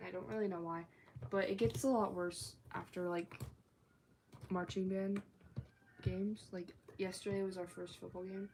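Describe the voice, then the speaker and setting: A teenage girl chatting on her own livestream, rambling loosely with lots of "like" and now and then dropping into a soft whisper. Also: medium pitch, vocal fry, slight background noise, low-quality recording. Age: teens. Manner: rambling, chatty, filler-heavy talk with frequent "like". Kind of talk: casual livestream chat. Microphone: phone or tablet.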